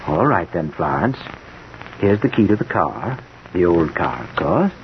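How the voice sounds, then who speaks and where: An actor playing a brooding, outwardly gentle composer who craves solitude, measured and condescending in old-time radio drama style. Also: male voice, low voice, very animated. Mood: fussy, irritated. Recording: muffled, noisy old radio recording.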